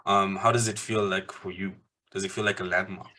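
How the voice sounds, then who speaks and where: Man, South African accent, radio host, lowish voice, bright and forceful, casual. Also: level tone, fairly quick. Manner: casual, enthusiastic, laughs along. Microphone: radio studio mic.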